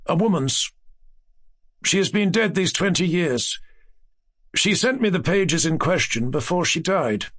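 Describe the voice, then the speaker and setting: Man acting a haunted gentleman storyteller, raised pitch. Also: animated, unhurried, long pauses, heavily acted. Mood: quietly solemn. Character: haunted, grave gentleman storyteller with quiet dread. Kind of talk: acted dialogue in classic ghost story audiobook.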